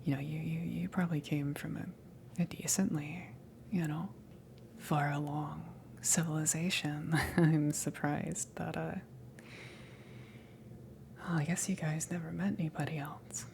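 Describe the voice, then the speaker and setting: A woman playing a casual, kind alien salvage crewmember in a hesitant, reassuring ASMR roleplay, whispery and breathy. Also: low voice, flat delivery, unhurried, pauses often, a little hiss or hum. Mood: musing, curious. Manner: hesitant, rambling, reassuring, soft chuckles. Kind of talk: ASMR roleplay, improvised-sounding soft talk.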